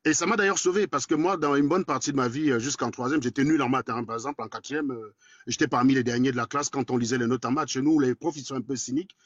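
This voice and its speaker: Man, forties, Cameroonian accent, fast talker, blunt and crude, dry muffled stream audio. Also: medium pitch, dry recording. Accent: Cameroonian French. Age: 40s. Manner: blunt, crude and combative, quick and talkative. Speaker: guest in a live debate. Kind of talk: casual live chat.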